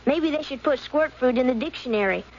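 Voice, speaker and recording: A woman's voice: sits high, lively intonation, brisk, darkish tone. A woman voicing an earnest schoolboy reciting a naive class report in a scripted radio ad. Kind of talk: scripted 1970s radio ad acting. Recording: dull, faintly noisy radio recording.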